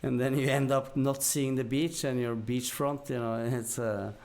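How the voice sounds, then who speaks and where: A man's voice: mid-range voice, steady tone, moderate pace, darkish tone. Casual Norwegian man telling stories. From Norway. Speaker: podcast guest. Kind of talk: casual storytelling.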